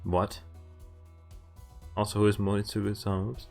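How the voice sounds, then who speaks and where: A man's voice: fairly low pitch, monotone, normal speed, creaky, darkish tone, soft background music. A man streaming a visual novel, casually reading game text aloud and adding informal, jokey commentary. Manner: informal, jokey asides between read lines.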